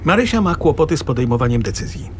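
A man's voice: on the high side, very animated, fast talker, a little hiss or hum. An actor playing a sarcastic, exasperated middle-aged father arguing with his wife in a radio drama. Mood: dry, reproachful. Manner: sarcastic, argumentative.

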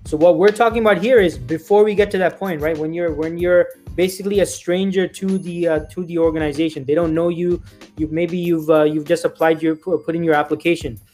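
A man with a slightly high voice talks rapidly as a recruiter co-hosting a career livestream. He explains in an emphatic way, restating points to stress them. Faint music sits behind his voice. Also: fairly even intonation, darkish tone. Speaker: staffing recruiter and show co-host. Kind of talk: livestream career-advice discussion.

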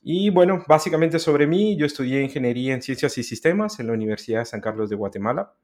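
A man's voice: medium pitch, animated, fast, a little mellow. A man from America, a guest chatting in a podcast interview in a friendly, talkative way. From Central America. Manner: friendly, chatty, laughs easily. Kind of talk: casual interview chat.

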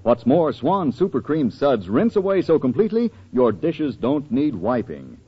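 Casting: male vintage commercial announcer, expressive, slightly husky, dull old radio sound. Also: medium pitch, moderate pace. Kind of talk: 1940s radio advertising read. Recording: muffled old-time radio recording.

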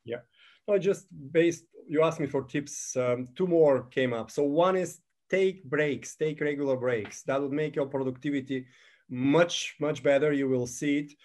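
A man of around fifty, speaking a bit higher than most men. He answers an interviewer casually, his English a little uneven, and he often stops to hunt for the right word. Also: a little mellow. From Bulgaria. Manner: halting, non-native phrasing, pauses to search for words. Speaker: guest.

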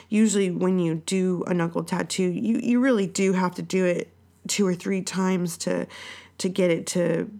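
Raspy, sick-sounding woman podcaster. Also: fairly low pitch, a little mellow. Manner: rambling and profane, lots of fillers. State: sick, hoarser than usual. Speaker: podcast host. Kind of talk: casual solo podcast chat.

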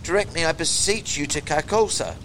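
Man voicing a feverish lost male wanderer, horror storybook, desperate, declamatory, archaic pleading, raised pitch, noisy background. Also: a little fast, close to an everyday voice. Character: desperate, feverish lost man narrating his own haunting.

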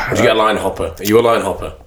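A man co-hosting a casual podcast, speaking in a lowish voice that is slightly hoarse. He coaches football and chats and teases easily. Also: fast, a loud crowd. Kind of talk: casual chat.

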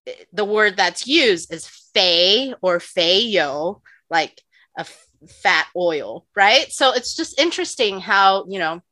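A woman's voice: mid-range voice, animated, takes it slowly, pushed and bright, no room sound. A woman co-hosting a podcast, chatting casually about nutrition with lots of "like" and "you know" and frequent laughter. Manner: laughs often. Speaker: podcast co-host.

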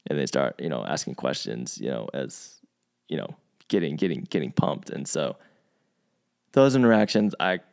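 A man who grew up in Virginia, co-hosting a podcast in relaxed conversation, thoughtful and full of restarts and asides. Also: extremely low pitch, moderate pace, vocal fry. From United States, grew up in Virginia. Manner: thoughtful, many restarts and asides, animated when quoting. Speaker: podcast co-host. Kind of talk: casual conversational chat.

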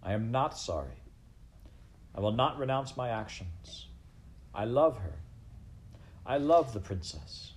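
A man's voice: medium pitch, very animated, takes it slowly, long pauses. A man voicing a small, brave young mouse in love, speaking earnestly and respectfully in a storybook. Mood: quietly defiant.

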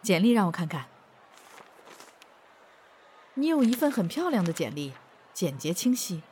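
No-nonsense personnel officer, lively intonation. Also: female voice, mid-range voice, a little hiss or hum. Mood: exasperated, businesslike. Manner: brisk, probing, wry. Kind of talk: Chinese audio drama acting.

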